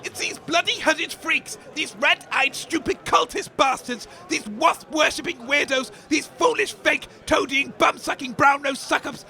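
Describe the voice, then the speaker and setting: A man's voice: very high-pitched, unhurried, vocal fry, hardly any pauses, pushed and bright, faint music behind, heavily acted. A man playing a pompous, greedy, foul-mouthed radio commentator who blusters and rants in a comedy audio drama. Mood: bitter ranting. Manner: blustering, ranting, insult-laden.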